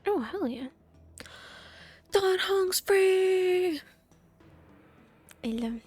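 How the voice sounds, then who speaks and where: A woman's voice: very high voice, lively intonation, very slow, a little mellow, soft background music. A woman streaming a game, reacting in casual, playful chat with exclamations and swearing.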